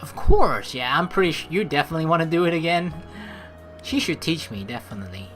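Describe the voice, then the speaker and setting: A young man with a bright, forceful voice and lively, swinging intonation plays through a game. He reads the narration aloud and drops in playful, casual asides, with music behind him. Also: a little high, brisk. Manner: expressive, informal, playful asides. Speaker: let's play host.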